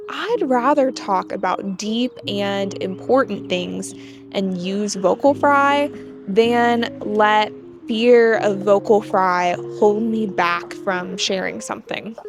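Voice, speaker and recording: Young American woman hosting, light vocal fry, unhurried delivery, music underneath. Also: medium pitch. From United States. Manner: measured, explanatory. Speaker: channel host. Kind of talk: scripted talk to camera.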